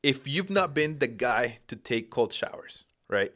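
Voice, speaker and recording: A man's voice: mid-range voice, lively intonation, unhurried, bright, forceful tone. A man in his thirties, originally from Peru, explaining patiently in a casual conversation with frequent rhetorical questions.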